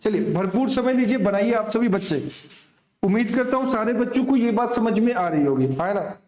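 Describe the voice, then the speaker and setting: A man's voice: high voice, lively intonation, normal speed, darkish tone. A man teaches maths in a reverberant room. He explains step by step like a coaching teacher, repeats himself for emphasis and often asks his students, with affectionate address, whether they follow. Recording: echoey room.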